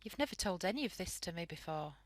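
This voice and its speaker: A woman's voice: on the low side, expressive, quick pace, lightly acted. A young woman playing a worried, affectionate daughter in a wartime radio play, speaking earnestly. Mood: sympathetic. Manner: earnest, gentle.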